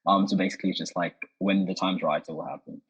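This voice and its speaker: An eighteen-year-old man, a panel guest answering in a measured, thoughtful way. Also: sits low, steady tone, husky. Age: teens. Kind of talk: casual group discussion. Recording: dull muffled audio.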